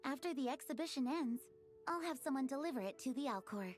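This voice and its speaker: A woman's voice: high voice, expressive, brisk, soft background music. A woman playing a courteous, poised antiques dealer in a video game, speaking with polite formality.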